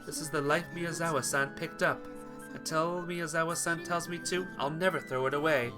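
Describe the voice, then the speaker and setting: A man voicing an earnest, gentle schoolgirl from a visual novel, measured in manner, with music behind. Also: on the high side, steady tone, lightly acted. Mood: earnest, consoling.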